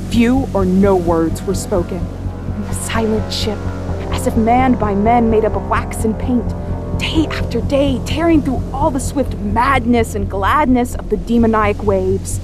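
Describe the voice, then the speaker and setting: A woman's voice: on the high side, a little slow, natural voice. A woman playing a solemn, haunted sailor who narrates a whaling voyage in a grave, incantatory audio drama style. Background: music and soundscape.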